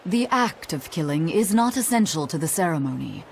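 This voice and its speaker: A woman playing a calm, knowing scholar in a fantasy video game, explaining things in a measured way. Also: lowish voice, creaky, a little hiss or hum. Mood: calm, explanatory.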